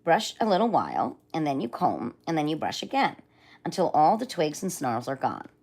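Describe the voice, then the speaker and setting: Woman voicing polite little boy hero, calm and coaxing, read-aloud storybook. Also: sits low, moderate pace, exaggerated voice.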